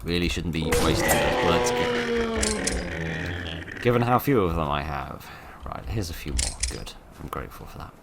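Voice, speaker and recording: Hoarse low-voiced man playing a game. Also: huge pitch swings, normal speed, background noise. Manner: chatty, wry, exasperated outbursts at the game. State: getting hoarse. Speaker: gaming commentator. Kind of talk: let's play commentary.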